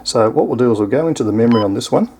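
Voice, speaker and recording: A man's voice: medium pitch, animated, rapid-fire, a little mellow, slight background noise. A man with an Australian accent giving a casual, unscripted product demonstration in an easygoing, explanatory way. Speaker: product reviewer.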